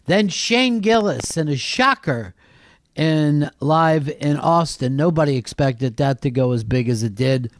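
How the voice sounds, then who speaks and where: A man's voice: on the high side, animated, a little slow. A man hosting a comedy talk show with casual, joking, rambling banter.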